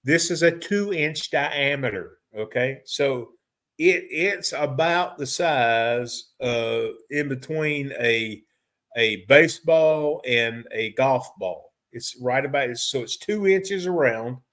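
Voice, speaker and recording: Halting, folksy male trainer. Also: medium pitch, expressive, fairly bright tone. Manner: folksy, halting, repeats and rephrases himself. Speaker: technical trainer for repair technicians. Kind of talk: informal training lecture.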